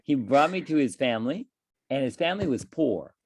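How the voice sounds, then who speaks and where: A man's voice: mid-range voice, brisk, slightly rough. Guy teaching english, chatty, voice jumps up and down a lot. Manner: chatty, repeats and restarts, lively rising questions. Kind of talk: casual conversation with a student.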